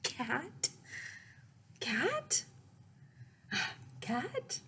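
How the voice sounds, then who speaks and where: An eager child from a picture book, played by a woman, pleading and excitable about getting a cat. The voice is pitched up, and the recording has noticeable background noise. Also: drawn-out words, full character voice.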